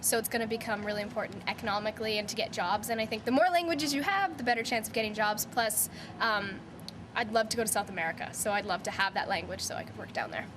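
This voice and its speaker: A young woman student answering an interview in a quick, earnest way. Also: medium pitch, background noise.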